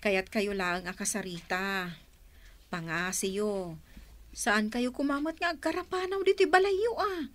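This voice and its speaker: An actress playing an exasperated but dutiful grown daughter and concerned sister in a radio drama. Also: female voice, mid-range voice, a little slow, close to an everyday voice. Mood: exasperated, scolding.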